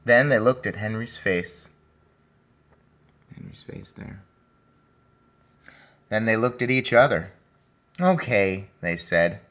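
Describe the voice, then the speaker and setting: Low-pitched man with a gravelly creak. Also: fairly bright tone. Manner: deliberate, addresses young listeners directly. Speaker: read-aloud teacher. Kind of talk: children's read-aloud with commentary.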